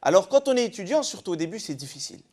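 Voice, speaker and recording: A man from eastern France speaks in a high, very expressive voice. He explains scripture like a teacher on a panel, posing questions and answering them himself. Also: racing. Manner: didactic, poses rhetorical questions. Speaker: religious scholar guest. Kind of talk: explanatory religious talk show discussion.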